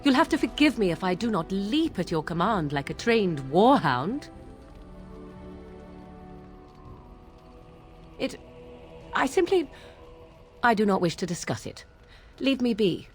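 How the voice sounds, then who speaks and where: A woman's voice: mid-range voice, big pitch swings, soft background music, close to an everyday voice. Woman playing a proud, guarded young witch. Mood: guarded, irritated. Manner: haughty, dramatic, halting under emotion. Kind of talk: video game character acting.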